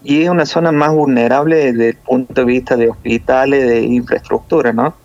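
A man from Córdoba with a Cordoban Argentine accent, a nurse explaining things in a measured way with many hesitations over a phone interview. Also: medium pitch, fairly even intonation, a little mellow, no echo, dull audio. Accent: Cordoban Argentine Spanish.

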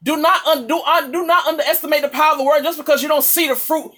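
A woman's voice: high voice, fairly even intonation, quick pace, a bit bright. A woman preacher giving an impassioned, exhorting charismatic sermon, repeating phrases for emphasis.

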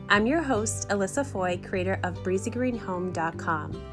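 A woman hosting a podcast, talking in an upbeat, enthusiastic way and interviewing a guest. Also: medium pitch. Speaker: podcast host.

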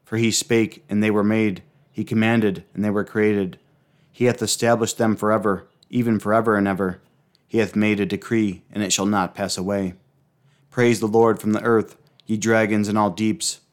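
A man reciting liturgical prayers, psalms and hymns in a solemn, measured, reverent manner. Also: lowish voice, flat delivery, clean tone.

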